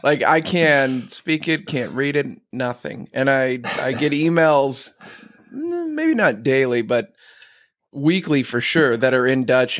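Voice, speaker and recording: A man talks casually on a podcast, relaxed and halting, with pauses and restarts. The sound is dry and close, with no room echo. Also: medium pitch. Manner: halting, laid-back. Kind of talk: casual chat.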